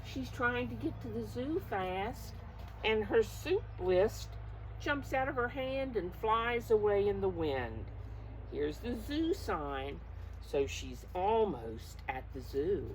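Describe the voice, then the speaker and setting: Animated, slow-paced woman reading to preschoolers. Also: medium pitch, a little hiss or hum, rough recording. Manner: expressive, coaxing, addresses listeners directly. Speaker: preschool teacher reading a picture book. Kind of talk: animated read-aloud to young children with guessing questions.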